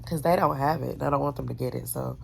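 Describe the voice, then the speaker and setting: A woman's voice: fast talker, gravelly, a little hiss or hum. Congested, nasal tired woman vlogging. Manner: tired, low-key, trailing off quietly. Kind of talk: casual vlog talk to camera. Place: at home, then at a pharmacy drive-through.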